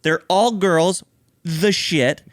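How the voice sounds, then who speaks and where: A man co-hosting a podcast, chatting casually and excitedly, swearing freely. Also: high voice, takes it slowly, no echo, clean studio sound. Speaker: podcast co-host. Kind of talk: casual chat.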